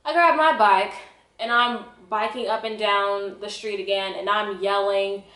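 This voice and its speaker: A woman's voice: mid-range voice, steady tone, a little slow, sharp tone. A young woman telling a personal story in a casual vlog style, with a dramatic, emphatic, self-mocking delivery. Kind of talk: animated casual storytelling to camera. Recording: echoey, roomy sound.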